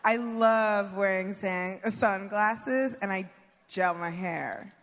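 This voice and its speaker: A woman comedian on a live panel, reading out comic clues in a measured, deliberate way. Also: medium pitch. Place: live stage before an audience. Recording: echoey hall, faint noise.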